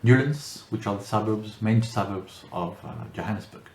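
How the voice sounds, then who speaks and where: A hesitant middle-aged man from France answers questions in a low voice, stopping often on fillers and restarting words. The recording is roomy, with a faint hum of background noise behind him. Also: normal speed. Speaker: interviewee. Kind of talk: casual interview answers.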